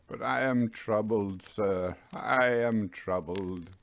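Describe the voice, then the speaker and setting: A man playing a troubled, deferential railway signalman in a ghost story, grave and halting, in a low, hushed voice. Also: vocal fry, dry recording. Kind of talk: dramatised audiobook ghost story.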